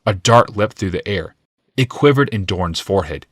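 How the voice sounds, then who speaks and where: A man's voice: lowish voice, lively intonation, normal speed, no echo, clean studio sound. A man reading scripted, dramatic fantasy narration as an audiobook narrator.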